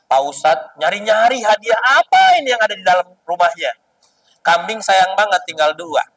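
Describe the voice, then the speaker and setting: A man's voice: high-pitched, animated, moderate pace, fairly bright tone, distant mic, rough recording. A man telling stories to children as an Islamic storyteller, teasing and explaining as he goes.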